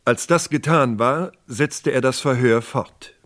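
A man narrating a scripted German radio crime drama in a measured, factual manner. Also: mid-range voice, a bit bright.

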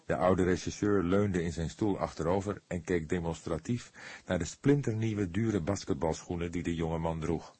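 A man's voice: sits low, normal speed, warm tone, slightly rough, no echo. A man narrating a scripted story as an audiobook reader.

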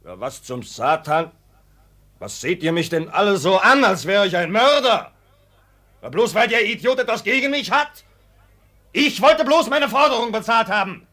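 A brutal farm master in an old radio play, with a very high, hard-edged voice. He is coarse and scornful, a drinker who blusters and curses as if everyone were against him. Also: lively intonation, moderate pace, sharp tone, exaggerated voice. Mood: angry, threatening. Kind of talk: 1950s German radio drama acting. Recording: old radio play recording, partly off-mic.

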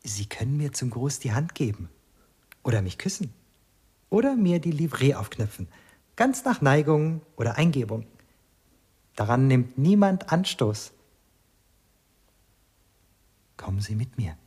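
A man's voice: medium pitch, sing-song, moderate pace, dark tone, natural voice. An actor playing a young male servant in a German radio drama, enigmatic and obedient, speaking formally and cryptically. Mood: softly inviting.

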